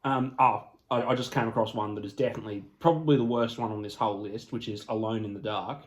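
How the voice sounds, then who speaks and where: A man's voice: on the low side, steady tone, quick pace. A man hosting a casual podcast chat about films, with a blunt, sweary way of talking. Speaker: podcast host.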